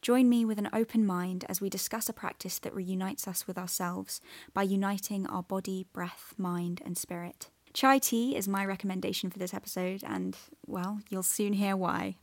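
A woman's voice: mid-range voice, steady tone, moderate pace, no room sound. A woman hosting a podcast, moving between a scripted introduction and interview talk. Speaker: podcast host.

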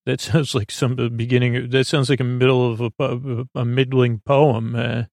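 A man hosting a bedtime podcast in a creaky, soothing voice, rambling through improvised tangents with mumbles and stumbles over his words. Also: mid-range voice, level tone, normal speed, darkish tone, no room sound, clean studio sound. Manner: soothing, lulling delivery. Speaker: podcast host.

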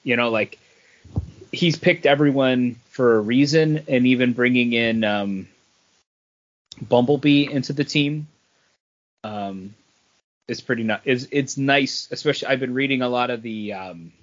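Mid-pitched man, slightly muffled podcast sound. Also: measured pace, long pauses. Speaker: podcast co-host reviewing comics. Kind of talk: casual conversational review chat.

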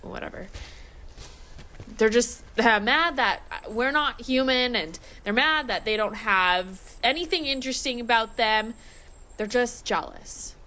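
A woman's voice: fairly high pitch, bright, forceful tone, full character voice. An actress playing a brash, stubborn, short-tempered female werewolf and former pack alpha in an audio drama, mocking and emphatic. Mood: defensive, casual. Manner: mocking, emphatic, quick to laugh. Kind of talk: audio drama acting, casual banter.

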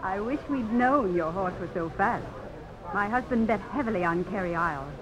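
A woman's voice: medium pitch, expressive, normal speed, lightly acted. An actress in a radio drama playing a refined, wistful Southern society lady with a genteel, earnest way of talking. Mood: regretful. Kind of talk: 1950s radio drama acting. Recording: muffled old radio broadcast, crowd murmur.

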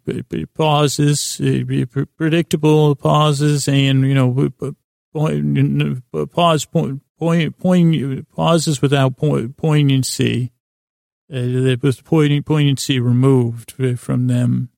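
Male sleep podcast host: heavy vocal fry, flat intonation, halting soothing rambles full of word stumbles. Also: mid-range voice, dry recording, studio quality. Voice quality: creaky. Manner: meandering, halting, stumbles over words. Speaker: podcast host and bedtime storyteller.

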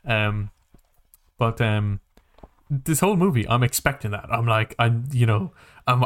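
A man's voice: mid-range voice, smooth. A man co-hosting a podcast in casual film discussion, with a hesitant, rambling way of talking that often restarts phrases. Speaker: podcast co-host.

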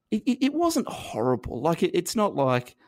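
An Australian man in his thirties, a guest reflecting on his past in a halting, self-deprecating way, with many restarts and fillers. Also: a little high. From northern New South Wales, Australia. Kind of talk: reflective conversational interview.

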